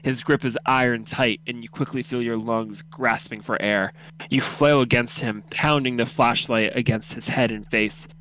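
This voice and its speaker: A man's voice: medium pitch, steady tone, moderate pace, a bit bright, poor audio. A man reading a horror story aloud as narrator, dramatic, intense and suspenseful.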